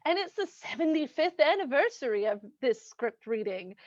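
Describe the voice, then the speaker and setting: A woman's voice: high voice, sing-song, normal speed, no echo. A woman hosting and casually introducing a live-streamed reading, on dull, dry audio. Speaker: host and announcer.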